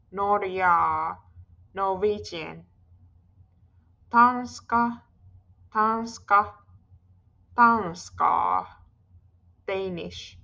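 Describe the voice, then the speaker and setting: A woman teaching a Finnish language lesson, speaking slowly and repeating each word clearly for learners. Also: medium pitch, pushed and bright, lo-fi sound. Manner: slow, careful pronunciation drills, repeating each word. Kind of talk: slow scripted language lesson.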